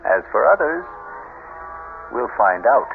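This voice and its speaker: A man's voice: medium pitch, wildly swooping pitch, takes it slowly, vocal fry, noisy background. Tinny radio mystery host, a man. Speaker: host and narrator. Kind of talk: scripted 1970s radio mystery narration. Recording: tinny old radio broadcast. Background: music.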